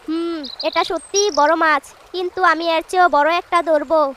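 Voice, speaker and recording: A woman's voice: very high-pitched, fairly even intonation, a little fast, fairly bright tone, a little hiss or hum. A woman voicing a competitive, affectionate village wife in a Bangla cartoon. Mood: boastful, competitive.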